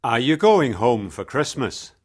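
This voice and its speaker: An actor playing a friendly, cheerful taxi driver in an audiobook story. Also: male voice, medium pitch, very animated, measured pace. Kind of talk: audiobook dialogue acting.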